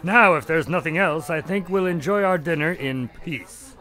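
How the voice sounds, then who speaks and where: A man's voice: a little high, moderate pace, pushed and bright. An actor playing a dry-witted doctor who teases his detective friend, in an audio drama. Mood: wry. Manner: wry, lightly teasing. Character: Doctor Watson, dry-witted physician on a date.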